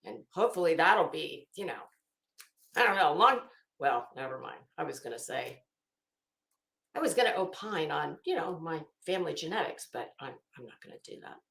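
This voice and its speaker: A woman's voice: deep voice, sing-song, normal speed, creaky, fairly bright tone. Gossipy woman chatting in an echoey room. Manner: gossipy, chuckling, coy asides. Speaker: livestream host. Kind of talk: casual chat with live viewers. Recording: roomy, echoey room sound.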